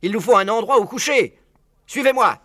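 A man's voice: high voice, animated, normal speed, bright, forceful tone, no echo, studio quality, faint music behind, exaggerated voice. A man voicing a bossy, eager father bird in a children's storybook, commanding and emphatic.

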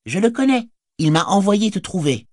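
A man's voice: sits high, sing-song, normal speed, no echo, studio quality, pitched above the performer's own voice. A man voicing a bold, cheeky young male hobbit who teases with playful mock boasting, in an audiobook reading. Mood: friendly. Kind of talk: audiobook character voice.

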